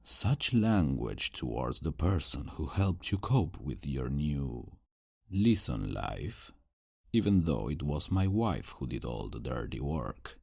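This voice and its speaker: A man's voice: extremely low pitch, big pitch swings, slow pace, vocal fry, darkish tone, slightly rough, no room sound, studio quality, full character voice. A man playing a smug, sadistic, centuries-old vampire in an ASMR roleplay, drawling with theatrical condescension. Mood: smug, needling.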